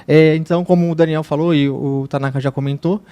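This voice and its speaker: A man who manages products and partnerships, working as the event emcee and briskly introducing the speakers. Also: fairly high pitch, steady tone, mellow, smooth.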